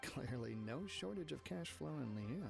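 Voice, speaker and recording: Weary merchant, sighing, hesitant, lively pitch swings, game music behind. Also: male voice, normal speed, gravelly. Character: weary male import merchant, grudging and businesslike. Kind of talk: video game voice acting.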